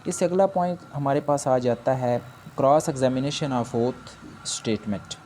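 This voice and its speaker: A man with a Pakistani accent lectures on law in a soft, dark-toned voice of medium pitch. He works through points one at a time, reading formal English phrases and explaining them. There is slight noise behind him. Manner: switches between Urdu explanation and English legal phrases read slowly.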